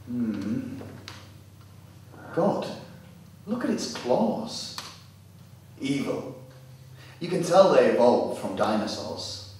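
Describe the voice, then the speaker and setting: Male actor as grumpy boyfriend, easily unnerved, highly animated delivery, live story drama, recorded far off in an echoey space. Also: extremely slow, pauses often. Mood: dry, uneasy. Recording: distant, very echoey hall, faint noise.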